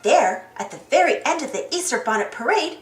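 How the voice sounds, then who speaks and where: A woman giving an expressive read-aloud narration of a storybook for children. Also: high-pitched, fairly quick, reverberant. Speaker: children's storybook channel reader.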